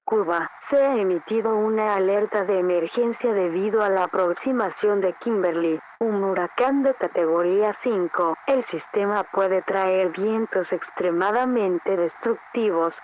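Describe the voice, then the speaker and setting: A woman reading a scripted emergency alert as an announcer, formal and measured. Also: medium pitch, dull audio. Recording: band-limited, noisy radio-style alert audio.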